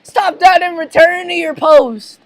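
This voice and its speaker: Tiny cartoon plankton restaurateur played by a woman: male, bossy, easily flustered, exclaims orders, raised pitch. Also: moderate pace, no echo. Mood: exasperated commanding.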